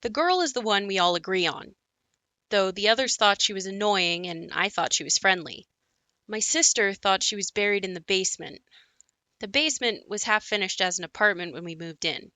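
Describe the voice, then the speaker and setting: A woman's voice: medium pitch, moderate pace, sharp tone, dry recording, natural voice. A woman playing a reflective narrator who recalls her childhood in a scripted audio play monologue. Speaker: narrator of her own memories.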